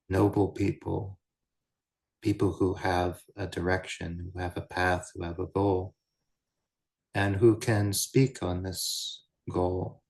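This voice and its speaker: A man guiding a meditation as a teacher, speaking in measured, deliberate short phrases with pauses between them. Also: deep voice, vocal fry. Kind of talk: guided meditation, unscripted Buddhist teaching.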